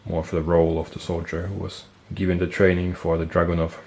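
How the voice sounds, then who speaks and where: A man with a stuffy nose, casually explaining military gear on camera, relaxed and thinking aloud with fillers and self-corrections. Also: low voice, quick pace, creaky. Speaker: military gear collector and video host. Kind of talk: casual show-and-tell explanation.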